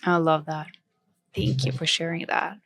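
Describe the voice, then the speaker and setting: A woman's voice: sits low, little pitch movement, measured pace, gravelly, a bit bright. A woman hosting a podcast interview, enthusiastic and affirming toward her guest. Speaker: podcast host. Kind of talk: casual interview chat.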